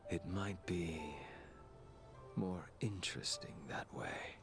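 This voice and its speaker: An actor playing a cold, vengeful, brooding male hunter in a video game, with a breathy, restrained, ominous delivery. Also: sits low, fairly even intonation, takes it slowly, heavily acted. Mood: cold, menacing. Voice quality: breathy, whispery. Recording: game music behind the voice.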